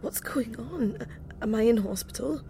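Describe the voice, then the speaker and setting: A woman's voice: a little high, normal speed, vocal fry, warm tone, a little hiss or hum. An actress playing a confused woman waking in a strange pod, asking anxious questions. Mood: frightened. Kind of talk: audio drama acting.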